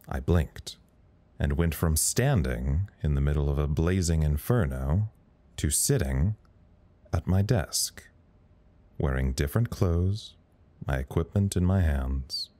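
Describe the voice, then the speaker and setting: Weary, very low-voiced male officer. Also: warm tone, exaggerated voice. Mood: weary, dry. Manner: rambling, wry, self-deprecating. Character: weary, self-deprecating male communications officer at a secret facility. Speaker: communications officer. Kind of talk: audio drama recorded log monologue.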